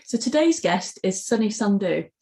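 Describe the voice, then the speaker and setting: A woman hosting a podcast, introducing her guest in a steady, scripted way. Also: medium pitch, moderate pace, soft background music, low-quality recording. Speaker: podcast host.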